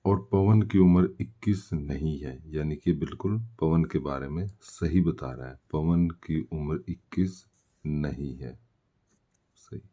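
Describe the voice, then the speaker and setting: A man's voice: a bass voice, unhurried, dark tone, dull audio. A man teaching maths step by step, posing questions and answering them himself as he explains. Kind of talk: step-by-step worked-solution lesson.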